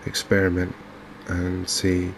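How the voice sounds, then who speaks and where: Softly spoken, flat-toned low English man. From England. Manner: unhurried, hesitant, gently musing. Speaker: gaming video host. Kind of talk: softly spoken ASMR game commentary. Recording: faint background noise from open windows and fans.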